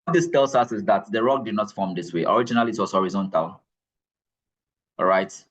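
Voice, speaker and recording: Low husky lecturer. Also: male voice, a little fast, a bit bright, highs cut off. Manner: repeats key points, checks in with "all right?". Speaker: geology lecturer. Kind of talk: classroom lecture.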